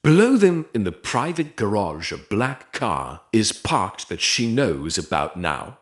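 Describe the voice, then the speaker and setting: A man reads dramatic romance fiction as an audiobook narrator. His voice sits on the low side and moves with wide, sweeping changes of pitch. He goes slowly and deliberately, setting short phrases apart with pauses.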